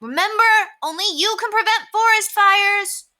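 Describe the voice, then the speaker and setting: A shrill bossy five-year-old girl. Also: moderate pace, pushed and bright. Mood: shouting.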